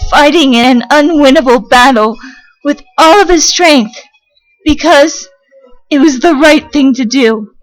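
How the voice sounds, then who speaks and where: A woman voicing an earnest, solemn child in a fan comic dub, speaking deliberately with pauses. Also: high voice, low-quality recording. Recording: music under the voice.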